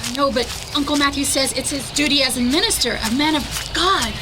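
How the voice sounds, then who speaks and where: A frantic young woman in a radio play acted by a woman, pleading urgently. Her voice is extremely high, with clear noise behind it. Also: fairly quick, natural voice. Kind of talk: radio drama acting. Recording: radio play with noisy background.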